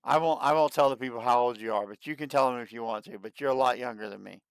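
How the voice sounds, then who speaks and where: A man in his late thirties hosting a livestream, chatting casually and excitedly, and often repeating himself. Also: medium pitch, quick pace, vocal fry, smooth, dry recording. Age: 30s. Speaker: livestream host. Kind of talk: casual chat.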